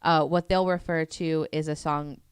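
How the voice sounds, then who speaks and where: A woman's voice: low voice, fairly even intonation, moderate pace, smooth, no echo, clean studio sound. A woman presenting a topic on a casual podcast, chatty and self-deprecating, with lots of fillers.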